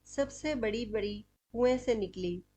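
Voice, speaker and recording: A woman's voice: a little high, a little slow, mellow. A woman telling a scripted moral story as narrator of a cartoon.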